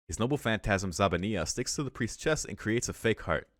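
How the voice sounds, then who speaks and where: A man reading a visual novel's story aloud for a let's play, breaking into casual, excitable reactions with some swearing. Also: fairly low pitch, fairly quick, dry recording, studio quality. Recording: music behind the voice.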